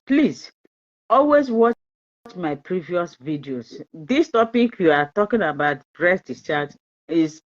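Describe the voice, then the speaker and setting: A Nigerian woman whose first language is Igbo, hosting a live-stream fertility talk in a chatty, repetitive way and slipping into pidgin. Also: on the low side. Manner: chatty, repeats herself, mixes in pidgin, laughs often. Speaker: fertility channel host. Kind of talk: live-stream advice talk, reading viewer comments. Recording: dull lo-fi live-stream audio.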